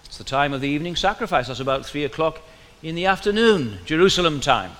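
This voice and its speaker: A man's voice: on the high side, lively intonation, fast, a bit bright, long reverb tail. A man with a Welsh accent preaching a sermon in a conversational way, often asking his listeners questions.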